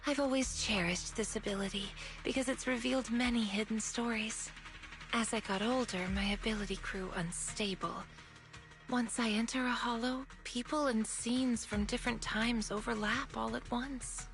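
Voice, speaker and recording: A gentle young woman in a story-driven game. Her voice sits a little high and comes out soft, earnest and measured. She sounds apologetic and sincere, with faint music underneath. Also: steady tone. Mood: calm, wistful. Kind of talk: video game story voice acting.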